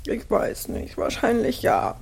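An actress playing a wistful but stubborn wife in couples therapy, in a naturalistic German audio drama. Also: female voice, unhurried, lightly acted. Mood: tender, hopeful.

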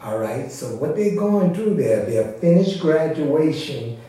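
A man explaining a story informally to a congregation, in a conversational and unhurried manner. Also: a little high, lively intonation, dark tone. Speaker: church presenter introducing and recapping an audio drama. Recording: very echoey room, far from mic.

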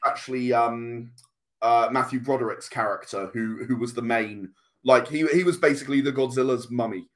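A man's voice: mid-range voice, normal speed. A man speaking as a guest game designer in a casual interview, explaining in long, enthusiastic runs.

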